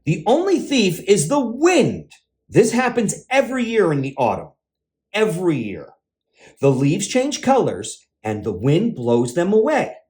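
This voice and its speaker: A man voicing a patient, sensible bird in a children's storybook, reassuring and gently firm with a worried friend. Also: high-pitched, wildly swooping pitch, a little slow, exaggerated voice. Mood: weary explaining. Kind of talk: children's picture book read-aloud.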